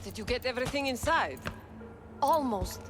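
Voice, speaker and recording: An actress playing an impatient gang worker barking orders in a video game. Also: female voice, high-pitched, animated, moderate pace, music in the background.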